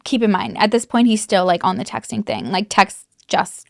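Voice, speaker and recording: A woman's voice: medium pitch, normal speed, creaky, no room sound, clean studio sound. A woman recounting a true crime case in a casual, chatty way, full of fillers and the odd swear word. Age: adult mother.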